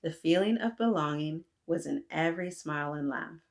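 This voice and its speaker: Low-voiced woman reading a kids' story. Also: moderate pace, creaky. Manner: gentle, expressive storytelling with asides to listeners. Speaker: children's book reader. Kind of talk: reading a storybook aloud for kids.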